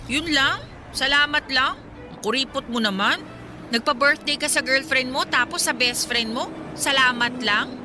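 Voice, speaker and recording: A woman's voice: high voice, moderate pace, music in the background, close to an everyday voice. An actress playing a friendly, teasing young woman chatting with her best friend in a Filipino radio drama. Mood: playfully teasing.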